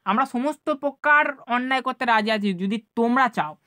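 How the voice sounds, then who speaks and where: A woman's voice: on the high side, normal speed, sharp tone, dry recording, clean studio sound. A woman with a West Bengal Bengali accent co-presenting in casual chat to students, emphatic and often answering her own questions. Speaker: YouTube co-presenter.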